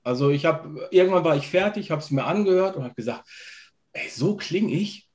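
A man with a fairly high voice hosts an interview on a video call. He talks casually from a living room, as a reviewer chatting with his guests. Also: expressive, moderate pace.

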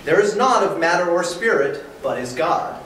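Man reading a lively, visionary chapel priest. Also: high-pitched, moderate pace. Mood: earnest, bold. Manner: archaic, declamatory verse delivery. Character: bold, visionary chapel priest in a verse drama. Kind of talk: staged dramatic reading. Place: hotel conference room, indoors. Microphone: camera across the room. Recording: very echoey room, distant mic, faint noise.

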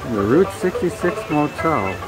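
A man's voice: a little high, big pitch swings, normal speed, loud music behind the voice, poor audio. A man vlogger making enthusiastic remarks on a visit to an indoor train museum. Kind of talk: casual chat.

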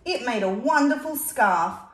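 A woman reading aloud as a proud male giant in a storybook, in a lively sing-song way. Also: fairly high pitch, moderate pace. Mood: cheerful. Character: proud scruffy male giant turned smart dresser. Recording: roomy echo.

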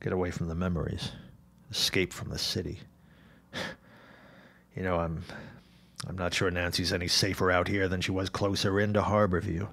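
An actor playing an earnest, troubled forty-year-old newspaperman and widowed father in a period audio drama, speaking haltingly. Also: low-pitched, level tone, vocal fry, fairly bright tone, heavily acted. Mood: shaken, troubled.

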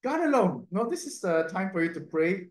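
Gentle priest with an echoey, slightly high voice. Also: male voice, big pitch swings, moderate pace. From Cantonese-speaking region. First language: Cantonese. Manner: gentle, conversational, tag "no?" and soft laughs. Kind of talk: homily reflection on Sunday readings. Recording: echoey room.

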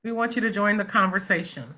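A woman's voice: mid-range voice, fast, sharp tone. A woman moderating an online discussion, encouraging and inviting as host. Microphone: video call.

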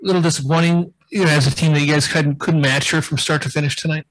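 A man's voice: a little high, steady tone, quick pace, fairly bright tone, no room sound, rough recording. A man asking conversational questions as a sports reporter at a press conference.

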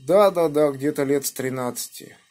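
A middle-aged man from the Moscow region talking as an amateur radio operator in a measured, deliberate, encouraging manner. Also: fairly high pitch, a little mellow. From Moscow region, Russia. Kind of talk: ham radio contact, spelling out callsigns phonetically. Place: indoors at a home radio desk by a window. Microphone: phone filming the radio.

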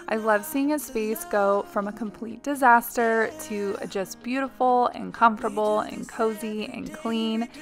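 A woman's voice: a little high, gravelly. A woman in her thirties, a mother and home vlogger, chatting through a cleaning video voice-over in a confiding, casual way with little ums.

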